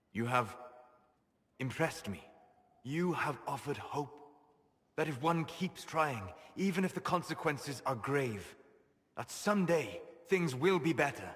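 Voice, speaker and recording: An actor playing a grave, gentle elven mage in a video game, speaking softly in measured, formal, solemn phrases with a melancholy wisdom. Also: male voice, medium pitch, slightly rough. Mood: earnest, hopeful. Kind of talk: dramatic video game acting.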